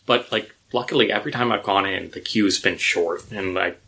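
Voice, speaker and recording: A man's voice: sits low, moderate pace, gravelly, pushed and bright, husky. A man co-hosting a podcast, telling theme park stories in a chatty way and laughing now and then. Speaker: podcast co-host. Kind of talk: casual chat.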